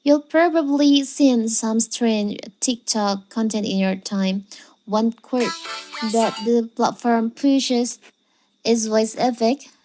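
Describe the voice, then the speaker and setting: A woman's voice: fairly high pitch, slow, mellow, soft background music. A woman hosting a how-to video, narrating step by step in a scripted tutorial style. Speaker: tutorial channel host.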